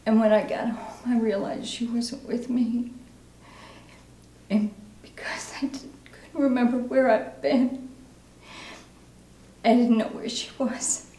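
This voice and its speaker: In a roomy, echoing space, an actress plays a recovering alcoholic mother making her confession. She is halting, sombre and weighed down by guilt, in a dramatic stage monologue with faint noise behind it. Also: fairly high pitch, fairly even intonation, warm tone, pitched above the performer's own voice. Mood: anguished, remorseful.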